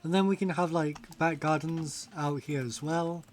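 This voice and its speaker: A man's voice: on the high side, fairly even intonation, measured pace, soft background music. A man chatting casually on a game stream, rambling, laughing often and reading chat aloud. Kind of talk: casual live-stream chat while gaming.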